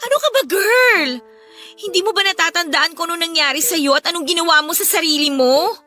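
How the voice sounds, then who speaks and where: An actress with a Filipino accent playing a concerned, preachy young best friend in a radio drama, earnest and emphatic, over music. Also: female voice, extremely high pitch, brisk, sharp tone. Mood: excited concern.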